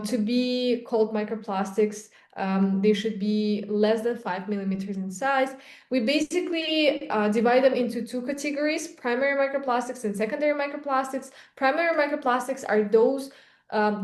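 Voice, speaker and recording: A woman's voice: fairly high pitch, normal speed, a little mellow. A young woman from Kazakhstan with a Kazakh accent, answering interview questions about her research in an explanatory way, with frequent ums and restarts. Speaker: microplastics researcher, guest. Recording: echoey room.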